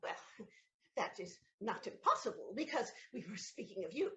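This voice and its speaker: A flustered noble countess. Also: female voice, medium pitch, sing-song, normal speed, pushed and bright. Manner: flustered, stammering. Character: flustered noble countess, anxious to hide secrets. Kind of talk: stage audio play acting.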